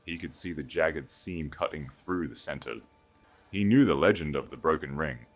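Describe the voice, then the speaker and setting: A man's voice: rumbling low, normal speed, soft background music. A man reading a scripted romantic fantasy tale as a dramatic audiobook narrator.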